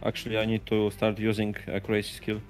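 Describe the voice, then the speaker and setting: A man's voice: on the low side, completely flat delivery, fast talker, faint music behind. A man chatting casually during a game with a friend, offhand and swearing when things go wrong. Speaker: gaming partner. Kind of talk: casual gaming chat.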